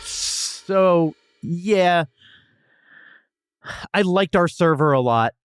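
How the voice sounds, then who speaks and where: Male podcast host, slightly high and very lively delivery, emphatic, slangy, casual comedic review chat. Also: drawn-out words, over music.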